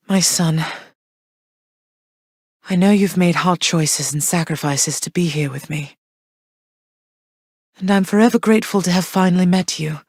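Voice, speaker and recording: Persephone: tender, measured underworld queen and mother, slightly low voice, dry studio read. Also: a little mellow, breathy edge, no echo. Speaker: game character. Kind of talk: game voice lines.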